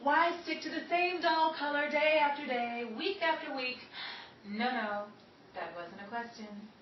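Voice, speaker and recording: A woman playing a chic, peppy 1930s radio announcer pitching beauty products in a vintage advertisement. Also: animated, a little slow, reverberant, recorded from a distance, muffled recording, slight background noise. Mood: selling smoothly.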